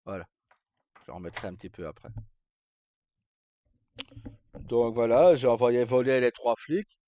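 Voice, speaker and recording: A man live streaming, casually telling roleplay stories in a rambling, halting way with pauses mid-sentence. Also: mid-range voice, expressive. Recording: slightly muffled, roomy, faint music. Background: background music.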